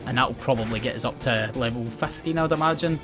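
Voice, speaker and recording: Young man, west coast Scottish accent, rambling, self-mocking game commentary, mid-pitched voice, faint game music under it. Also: fast. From Scotland. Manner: chatty, rambling, self-mocking, often restarts words and laughs. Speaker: gaming channel host.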